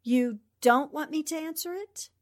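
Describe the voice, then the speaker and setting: Plucky, wry newswoman in peril, played by a woman, high voice, old-time radio style, noisy recording. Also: normal speed, lightly acted. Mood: coy stalling. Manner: defiant, wry. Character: plucky, defiant female newspaper reporter under threat. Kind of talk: radio drama acting in 1940s manner.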